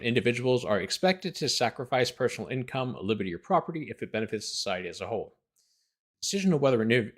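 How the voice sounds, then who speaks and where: Male lawyer-host, medium pitch, document read-aloud with dry, incredulous running commentary. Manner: incredulous, sarcastic asides.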